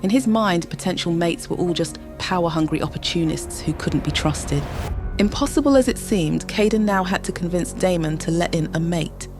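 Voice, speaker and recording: A woman narrating an audio drama with dramatic, measured storytelling. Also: lowish voice. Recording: music bed under narration.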